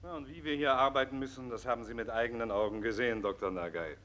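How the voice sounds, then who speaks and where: An actor playing a disillusioned, blunt senior professor and mentor in a 1960s German radio play, with a wry, didactic delivery. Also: male voice, medium pitch, fast talker, fairly bright tone, natural voice. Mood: bitter, resigned.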